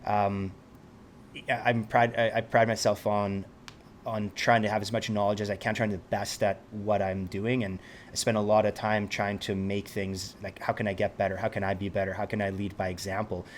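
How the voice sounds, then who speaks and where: Interview guest: man from Switzerland, lowish voice, thoughtful, stops and restarts, faint room hum. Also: level tone, normal speed. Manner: reflective, searches for words, frequent restarts. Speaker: interview guest, helicopter pilot. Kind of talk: casual conversation.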